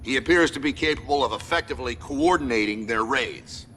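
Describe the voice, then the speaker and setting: Man acting a stern game military commander, forceful bright voice, clipped formal orders, noisy cutscene audio. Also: medium pitch, lively intonation, a little fast, long reverb tail, close to an everyday voice. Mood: authoritative. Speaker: mission briefing officer. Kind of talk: military mission briefing.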